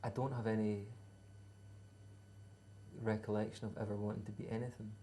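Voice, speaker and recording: A Scottish man in his thirties talks in an unhurried, hesitant way, with dry humour and plenty of ums. His voice sits low and flat, with a gravelly rasp and a dark, mellow tone. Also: a little hiss or hum. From Scotland. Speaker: musician guest. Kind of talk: casual interview answers.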